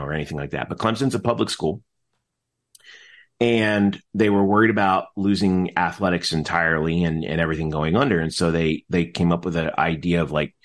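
A middle-aged man talking casually as an interview guest, explaining in a rambling way and often interrupting himself mid-thought. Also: low voice. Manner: rambling, animated explaining with frequent self-interruptions. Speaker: interview guest, announcer and teacher.

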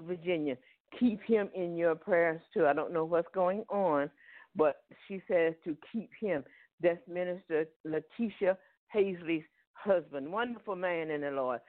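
A man's voice: on the high side, no echo. A man with a Southern accent preaching a Bible teaching, punctuating his points with "Amen" and "Hallelujah.". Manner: peppers speech with "Amen" and "Hallelujah". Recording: tinny phone line, dry.

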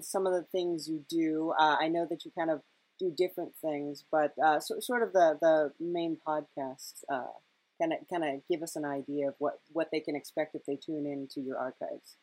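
A woman's voice: low voice, darkish tone, dry recording, rough recording. A woman hosting a casual podcast interview, feeling her way through questions with many false starts. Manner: halting, hesitant phrasing with repeated false starts.

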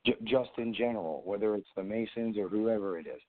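A man's voice: on the low side, a little fast. A man calling in to a talk show with a sore, froggy throat, rambling with many restarts. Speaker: caller, fellow show host. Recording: tinny phone line.

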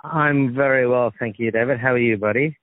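A man with an Australian accent, an actor and comedian, chatting relaxed and talkative as a guest in a phone interview, laughing easily. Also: mid-range voice, brisk, dry recording. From Sri Lankan family, grew up in Australia. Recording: tinny phone line.

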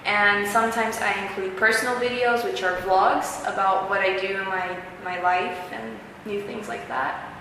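A young woman, born in Peru and raised in the United States, answering interview questions about healthy eating in a relaxed, fluent, explanatory way. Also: medium pitch, moderate pace. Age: young adult. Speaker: guest, video blogger. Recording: very echoey hall, faint noise.

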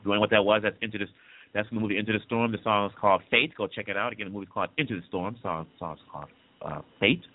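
A low-voiced man hosts a casual news commentary, talking fast and repeating words as he gathers his thoughts. He comes through a dry, tinny, phone-like line. He is from the Congo. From Democratic Republic of the Congo. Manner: fast, conversational, repeats himself while thinking. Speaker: podcast news host.

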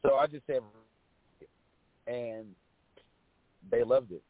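A man with a raspy voice, a rapper guest, telling stories in a relaxed, casual way with lots of fillers. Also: medium pitch, slow, no room sound. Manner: relaxed storytelling with many fillers. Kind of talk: casual interview chat. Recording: tinny phone-line sound.

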